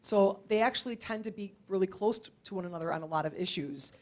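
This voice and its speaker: A woman's voice: mid-range voice, fast talker. A woman instructor talking informally with students, in a conversational, hesitant way with repeated words. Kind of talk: informal question-and-answer with students. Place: indoor college library event before an audience.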